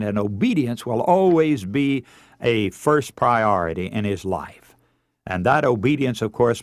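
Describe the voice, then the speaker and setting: Radio preacher with sweeping, dramatic pitch. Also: male voice, no room sound, clean studio sound. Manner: earnest, emphatic, illustrating with anecdotes and rhetorical questions. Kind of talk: radio sermon.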